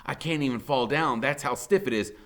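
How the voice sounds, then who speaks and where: A man's voice: medium pitch, a little fast, fairly bright tone. A man reading a novel aloud as dramatic first-person narration, emphatic and suspenseful.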